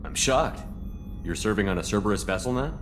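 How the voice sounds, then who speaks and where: A man's voice: fairly low pitch, lively intonation, fairly quick, natural voice. A man playing a confident, no-nonsense military commander in a video game, direct and businesslike. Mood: dry confidence. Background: music.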